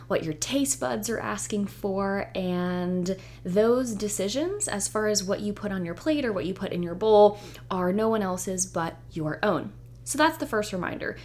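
Woman, young adult, solo podcast host; voice a bit hoarse, upbeat and encouraging delivery. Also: medium pitch, slight background noise. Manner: chatty, upbeat and reassuring. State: hoarse, nearly losing her voice. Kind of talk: solo podcast intro monologue.

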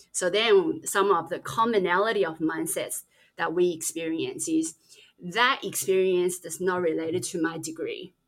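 A woman career adviser on a podcast, explaining things with rhetorical questions. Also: medium pitch. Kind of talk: podcast conversation.

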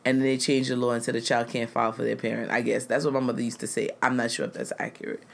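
An American man in a relaxed talk show, speaking earnestly and pressing his points with growing force. His voice sits at an ordinary middle pitch for a man, neither deep nor high. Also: fast talker, vocal fry. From United States. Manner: earnest and emphatic, grows louder when making a point. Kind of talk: casual conversational chat.

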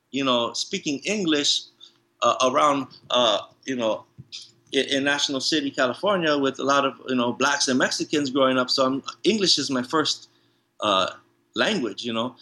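Bright-voiced laid-back tattoo artist, man. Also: mid-range voice, normal speed. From Hawaii, raised in San Diego. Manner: relaxed, rambling, many restarts and "you know". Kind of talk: casual interview chat.

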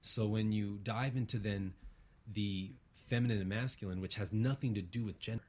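A man's voice: lowish voice, fairly even intonation, normal speed, darkish tone. A man speaking as an interview guest in a reflective, earnest way, using abstract spiritual phrasing and often checking for agreement.

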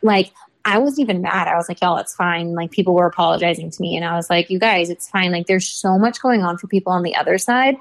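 A woman's voice: fairly low pitch, fast, gravelly. A young woman, a motorsport reporter, telling a story in a casual podcast chat, full of "like" fillers. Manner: chatty, rambling storyteller with many "like" fillers.

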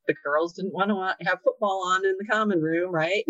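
A woman's voice: lowish voice, normal speed, fairly bright tone, no echo. Chatty woman in her thirties, lo-fi. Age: 30s. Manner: chatty, frequent "like" fillers. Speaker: guest. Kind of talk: casual conversation. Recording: dull lo-fi sound, dry.

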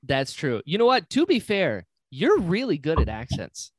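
A man hosting a podcast interview, enthusiastic and playful, agreeing warmly with his guest. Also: a little high, wildly swooping pitch, moderate pace, no room sound. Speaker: podcast host.

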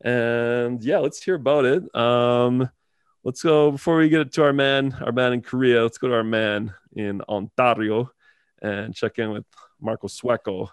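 A man's voice: medium pitch, steady tone, a little slow, clear, resonant tone, no echo. A man in his thirties hosting a casual podcast, rambling with lots of fillers and easy laughs. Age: 30s. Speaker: podcast host.

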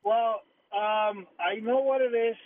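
A man's voice: high-pitched, fairly even intonation. Hesitant man calling in by phone. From Spanish-speaking country. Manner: halting, many fillers and restarts. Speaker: caller. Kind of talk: phoned-in call to a radio quiz. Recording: tinny phone line with faint noise.

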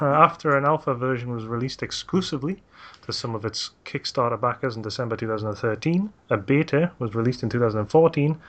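A man's voice: medium pitch, a bit bright. Man reading notes, jokey. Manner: reads facts aloud with jokey asides. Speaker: podcast host. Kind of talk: casual podcast chat.

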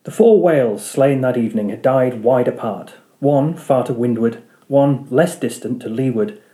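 A man narrates a literary audiobook in a dark, mellow voice. His pitch sits in the middle of the male range, and the delivery is scripted storytelling. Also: echoey room. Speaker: audiobook reader.

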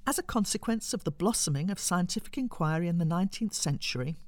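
A woman reading scripted educational narration in a measured, articulate, explanatory way. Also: on the low side, lively intonation, a little mellow, no echo.

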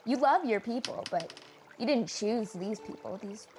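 A woman's voice: on the high side, expressive, a little slow, a little mellow, a little hiss or hum. A woman playing a frank, wry teenage girl in a comedy radio play, talking in a confiding monologue.

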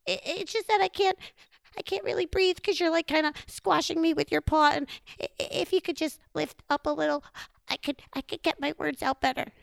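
A woman's voice: high voice, fairly even intonation, a little fast, creaky, no room sound, voice pushed up. A woman voicing a small, nervous chipmunk in a live story, stammering and repeating itself. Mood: strained, pleading. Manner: stammering, repetitive pleading.

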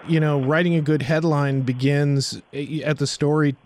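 A man's voice: a little high, level tone, moderate pace, a little mellow. A man, hosting a podcast in a conversational interviewing style. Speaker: podcast host. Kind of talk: conversational interviewing and show outro.

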